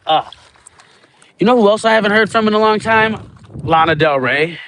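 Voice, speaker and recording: A man's voice: sits high, moderate pace, fairly bright tone, slight background noise. A Cuban man livestreaming from a small boat out on open water, rambling and swearing while chatting with his viewers through his phone. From Cuba. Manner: profane, rambling, stoned banter with viewers.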